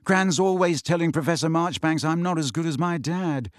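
Man doing a shy, quiet, miserable teen boy. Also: a little high, moderate pace, dry recording, studio quality, pitched above the performer's own voice, natural voice. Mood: subdued. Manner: quiet and subdued. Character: shy timid teenage schoolboy.

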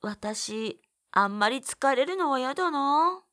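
An actress playing a shy, gentle young woman who loves plants in a Japanese audio drama, speaking softly and earnestly. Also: sits high, lively intonation, takes it slowly, full character voice. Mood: hesitant, pondering.

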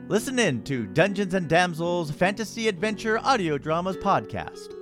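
A man's voice: sits high, sing-song, normal speed, music in the background. A man playing a jovial storyteller, giving scripted promotional narration for fantasy adventure tales. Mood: announcing. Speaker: trailer narrator.

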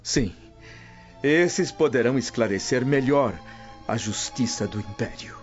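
A man's voice: medium pitch, lively intonation, a little slow, natural voice. A man with a Brazilian accent playing a devout, dignified freed slave held prisoner, solemn and formal in a radio soap. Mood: solemn, resolute. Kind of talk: radio soap acting in Portuguese. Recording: muffled radio drama with music underneath.

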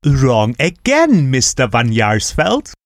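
A haughty, sinister male spy, as a storyteller puts him on, drawing out every sneer. His voice rides high above the narrator's and swoops widely, pushed out with force. It sounds dry and close. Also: wildly swooping pitch, slow, no room sound, exaggerated voice.

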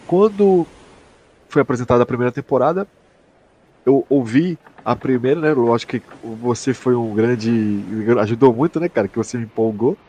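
A man's voice: medium pitch, moderate pace, mellow, slight background noise. A Brazilian man chatting casually and enthusiastically as a podcast host, slangy and emphatic, with some swearing. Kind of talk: casual chat, enthusiastic review.

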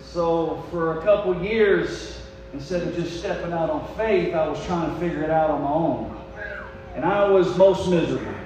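A high-pitched American man in his forties gives a folksy sermon testimony. He tells his story dramatically and voices remembered conversations. The sound is very echoey and picked up from far away in a hall, with faint hum behind him. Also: normal speed. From United States. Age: 40s. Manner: folksy, dramatic, acts out remembered dialogue, swings from quiet confiding to shouting. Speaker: preacher and missionary.

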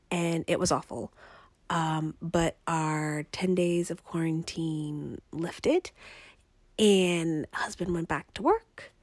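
Congested, raspy young woman with creaky voice. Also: on the low side, a little mellow, dry recording. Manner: rambling, conversational. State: congested, recovering from illness. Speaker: podcast host. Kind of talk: casual personal podcast chat.

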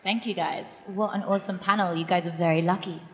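A woman's voice: lowish voice, steady tone, quick pace, fairly bright tone. A woman moderating a conference panel, upbeat and a little hesitant, with frequent fillers as she introduces the guests. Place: conference hall stage. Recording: long hall reverb.

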